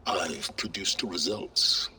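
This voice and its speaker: An actor playing an arrogant, secretive, calculating scholar in a video game cutscene, speaking in a pompous, deliberate and articulate way. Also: male voice, sits low, pushed and bright, a little hiss or hum, heavily acted. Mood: superior, boastful.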